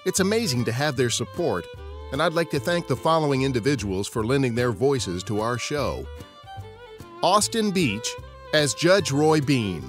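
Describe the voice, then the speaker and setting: A man's voice: mid-range voice, sing-song, normal speed. An American man hosting a podcast, reading scripted narration. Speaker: podcast host. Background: guitar music.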